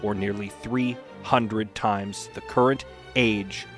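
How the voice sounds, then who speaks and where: A man performing as a solemn dramatic reader, deliberate and full of weighty pauses. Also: on the low side, fairly even intonation, a bit bright. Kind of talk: mock dramatic reading of an encyclopedia text. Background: music behind the voice.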